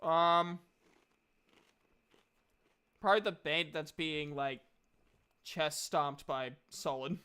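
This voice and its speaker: A man with a clean, resonant voice pitched a little above average runs a tabletop roleplaying game. He talks casually and conversationally as game master, describing what happens and handing out turns. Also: slow pace, pauses often, sharp tone, clear, resonant tone.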